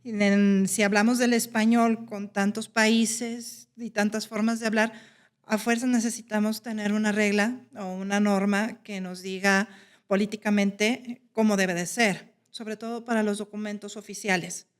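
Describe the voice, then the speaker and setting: A woman lays out a careful explanation, pausing now and then to find her words. Her voice sits at a middle pitch. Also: flat delivery, clean tone. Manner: hesitant, explanatory. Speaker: podcast guest. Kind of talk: casual chat.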